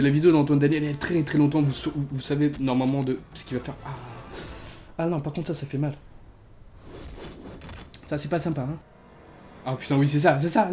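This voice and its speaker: A young man giving a comedy reaction commentary, excitable and laughing, full of street slang. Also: a little high. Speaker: YouTuber.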